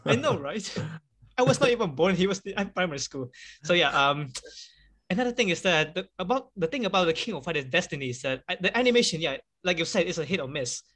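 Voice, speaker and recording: A man whose first language is Chinese, chatting casually as an interview guest, laughing often and filling pauses with "like" and "um.". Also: high voice, lively intonation, a bit bright.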